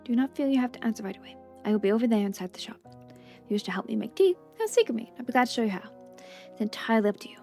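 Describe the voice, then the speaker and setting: Kindly tea shop gentleman played by a woman, quaint and unhurried, cosy video game character, faint music. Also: a little high, expressive. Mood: gently persuasive. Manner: formal, quaint phrasing, gently polite.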